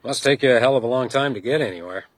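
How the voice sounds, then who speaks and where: An actor playing a terse, dry hotel detective and war veteran who keeps to himself, in a radio drama. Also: male voice, mid-range voice, very fast, no echo, lightly acted. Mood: matter-of-fact.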